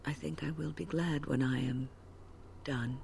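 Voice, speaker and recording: A weary, gravelly old mage. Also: female voice, low-pitched, steady tone, a little slow, darkish tone, slight background noise, heavily acted. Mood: earnest. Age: older. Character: weary older woman mage, dry and dutiful.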